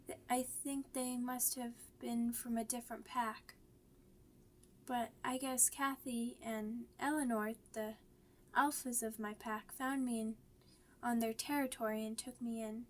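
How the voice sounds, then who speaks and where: A woman playing a shy, grieving, vulnerable young werewolf pup in an ASMR audio roleplay, with halting, stammering, childlike speech. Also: a little high, fairly even intonation, a little hiss or hum, lightly acted. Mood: subdued, wistful.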